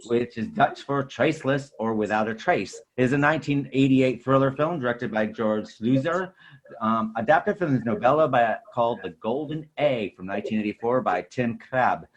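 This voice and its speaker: Casting: male podcast host, medium pitch, announcer-like scripted intro to a film. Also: poor audio.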